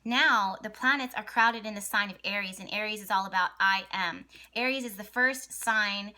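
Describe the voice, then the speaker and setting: A young adult woman hosting an astrology video channel, hoarse and raspy today from a partly lost voice, talking in an excited, rambling way with many fillers and restarts. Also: mid-range voice, normal speed. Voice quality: raspy, voice cracks at times. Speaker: astrology vlogger and channel host. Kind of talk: casual astrology talk to camera.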